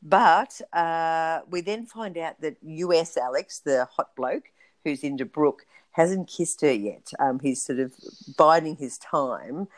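Low-voiced woman chatting casually. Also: lively intonation, slow, no echo. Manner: rambling, lots of ums and asides. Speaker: podcast co-host. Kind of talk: casual recap chat.

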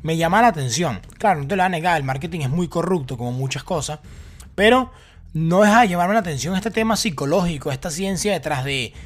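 A young man in his twenties with a Venezuelan accent, telling his personal story to the camera in a casual, talkative way with many fillers and restarts. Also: on the high side. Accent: Venezuelan Spanish. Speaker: vlogger.

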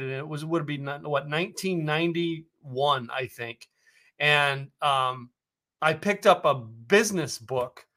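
An American man hosts a loose chat, rambling and restarting his sentences with plenty of fillers. His voice is very bright and a touch high for a man. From United States. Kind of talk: casual conversation.

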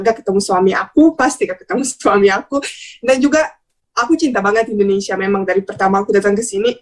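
A young woman from Bosnia, telling stories casually as a podcast guest, talkative and expressive, mixing in English words. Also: a little high. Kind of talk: casual conversational storytelling.

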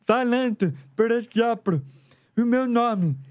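Man from rio de janeiro, very high voice, careful learned pronunciation, deaf guest who lip-reads. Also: slow pace, a little mellow. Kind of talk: casual interview.

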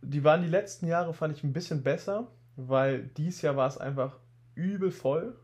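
A young man in his twenties with a slight cold, telling stories in a relaxed, casual podcast chat. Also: a little high, moderate pace. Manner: relaxed storytelling, laid-back slang. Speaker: podcast co-host.

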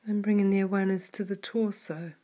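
A woman leading a guided mindfulness meditation in a soft, calm manner, with some words trailing into a whisper. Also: mid-range voice, a little fast.

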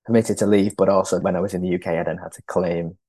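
A deep, mellow-voiced man in his thirties speaks slowly and thoughtfully, pausing often and restarting sentences. His tone stays even and low, recorded dry and close. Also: no room sound. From born in Peru, raised in northern England. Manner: thoughtful, hesitant, frequent restarts and ums. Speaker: podcast guest, adoptee. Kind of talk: conversational interview.

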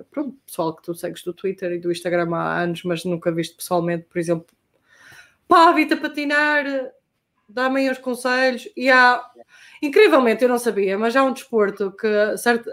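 A young adult woman from Portugal with a Portuguese accent, chatting casually and hesitantly as a guest, with slang and English terms mixed in. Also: mid-range voice. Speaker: guest skater. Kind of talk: casual chat.

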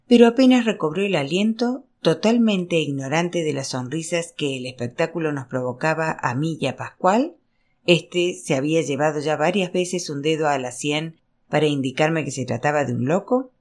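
A narrator reading a literary novel aloud in an expressive storytelling style. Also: medium pitch. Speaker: audiobook narrator.

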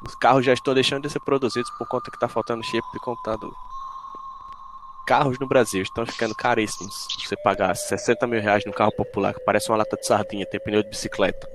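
A man's voice: mid-range voice, level tone, quick pace, noisy background. A Brazilian man in casual chat, blunt and sarcastic, swearing freely.

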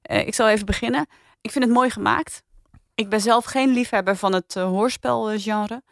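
A woman's voice: fairly high pitch, steady tone, moderate pace, no echo, studio quality. A woman giving frank opinions in a casual, conversational podcast review.

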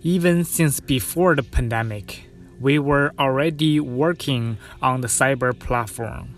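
A man from China, a dance artist, speaking gently in a measured guided narration over soft music. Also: medium pitch.

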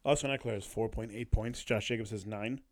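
A man co-hosting a sports podcast, reading off scores and stats in a low-key way. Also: fairly even intonation, fairly quick, dry recording. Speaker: podcast co-host. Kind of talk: casual sports chat.

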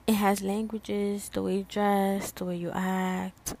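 Slow-spoken young woman, rambling. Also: medium pitch, level tone, vocal fry, a little mellow. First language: Spanish. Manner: rambling, many fillers and restarts. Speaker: student podcast host. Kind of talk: casual solo podcast talk.

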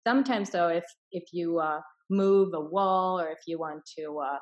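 Slightly low-voiced woman, casual, non-native English. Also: normal speed, dull audio. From Finland-born, raised in Sweden. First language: not English, which is a third language. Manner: informal, acts out quoted dialogue. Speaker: interview guest, property investor. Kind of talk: casual conversational explaining.

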